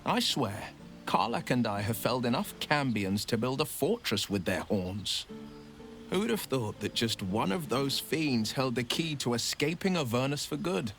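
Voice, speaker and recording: An actor playing a battle-seasoned heroic adventurer in a video game, with a theatrical storytelling delivery. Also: male voice, mid-range voice, a bit bright. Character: heroic adventurer man, battle-seasoned monster hunter, warm comrade. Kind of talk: video game character dialogue.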